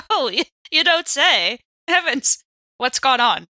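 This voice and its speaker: A woman's voice: sits high, big pitch swings, slow, sharp tone, full character voice, low-quality recording. A woman playing a mannered, exclamatory genteel lady in an improvised tabletop roleplay. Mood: mock surprise.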